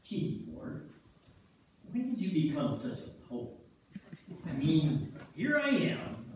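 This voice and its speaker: A man's voice: on the high side, sing-song, slow pace, a little mellow. A man acting a chilled, grumbling ice fisherman who ribs his buddies in an amateur stage play reading. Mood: grumbling, teasing. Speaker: amateur reader's theater performer. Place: church sanctuary stage, filmed from the pews. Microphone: distant camera in the audience. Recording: echoey church hall from far off, faint noise.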